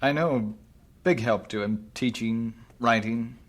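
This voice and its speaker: A man's voice: medium pitch, slow. A man playing a concerned, respectful mission colleague in an old-fashioned radio drama, speaking thoughtfully and with some hesitation. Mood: hesitant.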